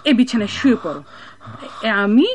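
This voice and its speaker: An actress playing a kindly, hospitable innkeeper in a radio drama, fussing and scolding with care. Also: female voice, on the high side, normal speed, a crowd in the background. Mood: caring, fussing. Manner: expressive, fussing, scolding yet caring. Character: kindly, motherly woman innkeeper, brisk but hospitable. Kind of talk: Bengali radio drama acting.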